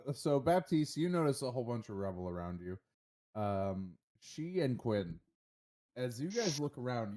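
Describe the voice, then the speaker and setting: A man narrates a tabletop game as its game master. His voice is soft-edged and dark, at an average pitch for a man. He improvises loosely and hesitates as he pieces sentences together.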